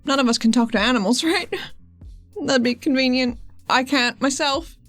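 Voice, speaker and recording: A woman's voice: sits high, normal speed, a bit bright, faint music behind. A woman playing a tabletop role-playing game, chatting casually as she reacts and asks questions.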